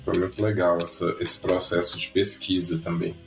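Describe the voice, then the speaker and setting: A man from Brazil, a musician and educator, recalling his projects in a relaxed, storytelling way, stretching some words. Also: deep voice, moderate pace, vocal fry, over music. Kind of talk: casual interview talk. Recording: roomy echo.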